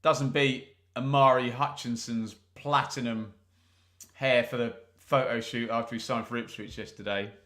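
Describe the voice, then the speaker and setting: Man, football watch-along host, live commentary, jokey asides, bright voice. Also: mid-range voice, fairly even intonation, unhurried. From England. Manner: chatty, joking, asides to the live chat. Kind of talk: live match commentary and chat.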